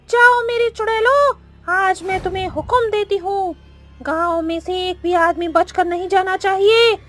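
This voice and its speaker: A woman voicing a bloodthirsty, tyrannical witch in a horror cartoon, with a shrill, menacing, gloating delivery. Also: takes it slowly, a bit bright, faint music behind, exaggerated voice. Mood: commanding, gleeful. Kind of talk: melodramatic horror cartoon voice acting.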